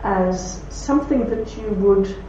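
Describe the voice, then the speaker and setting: A woman with a broad Belfast accent speaking to an audience, telling anecdotes with warmth and laughter. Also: mid-range voice, slow, slight background noise. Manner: warm anecdotal storytelling with laughs. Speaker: speaker at a talk. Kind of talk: talk with audience questions. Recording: roomy hall from far off, dull.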